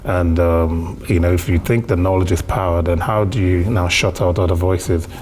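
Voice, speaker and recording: A man from Africa, a curator, answering at a panel talk in a measured way, restarting as he builds his point. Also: deep voice, fairly even intonation, darkish tone, slight background noise.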